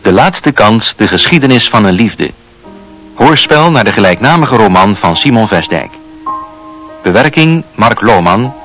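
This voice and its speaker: A male radio drama announcer reads scripted credits. His voice is on the low side with lively intonation, over music. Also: a little slow.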